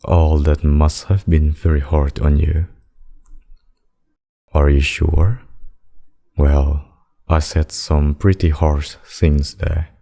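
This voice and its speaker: A man voicing a possessive demon king and lover in a scripted ASMR roleplay, speaking deliberately and intimately. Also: a bass voice, flat delivery, creaky, warm tone, full character voice. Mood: tender, concerned. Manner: slow, deliberate, intimate addresses with pet names. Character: possessive demon king, menacing abductor turned tender secret lover.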